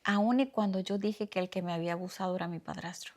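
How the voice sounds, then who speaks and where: A young woman from Oaxaca with a Mexican accent, hosting a podcast and telling her own story in a soft, reflective way with slow pauses. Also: lowish voice, fairly even intonation. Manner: soft-spoken, reflective, speaks slowly with pauses. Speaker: podcast host. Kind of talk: personal storytelling monologue.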